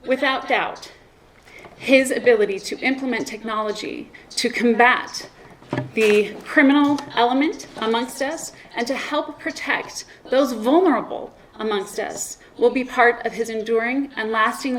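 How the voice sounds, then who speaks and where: A woman's voice: a little high, a little hiss or hum, low-quality recording. Woman reading a formal tribute. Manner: reads steadily with occasional slips and restarts. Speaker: presenter reading a citation.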